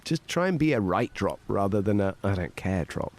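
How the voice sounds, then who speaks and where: A man's voice: fairly low pitch, big pitch swings, normal speed, a little mellow. Rambling middle-aged man, playful and chatty. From Portugal. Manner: rambling, laughs often, playful. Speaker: podcast guest. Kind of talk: casual conversation.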